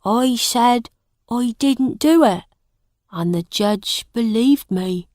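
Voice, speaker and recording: A woman's voice: on the high side, animated, takes it slowly, darkish tone, no echo, clean studio sound, pitched above the performer's own voice. A woman reading a storybook, voicing a prisoner who tells his story matter-of-factly. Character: plain grown man, a prisoner telling his tale.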